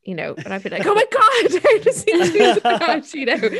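A woman's voice: very high voice, huge pitch swings, normal speed, fairly bright tone, no echo, clean studio sound. A woman co-hosting a casual interview, enthusiastic and effusive, sprinkling her talk with "like". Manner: enthusiastic, effusive, many "like" fillers. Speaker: co-host.

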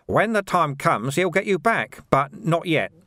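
A man voicing a cheerful, unflappable little monk in an audiobook, with a calm, wry, politely needling manner. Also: on the high side, unhurried, dry recording, clean studio sound, pitched above the performer's own voice, full character voice. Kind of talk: audiobook character voice.

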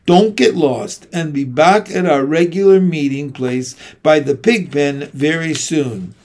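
Cheerful farm mum, brisk and motherly, raised pitch, read by a man. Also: darkish tone.

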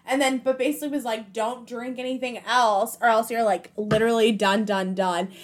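A woman in her twenties co-hosting a podcast, chatting casually with quick, teasing banter in a friend's living room. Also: on the high side, fairly even intonation. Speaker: podcast co-host. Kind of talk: casual chat.

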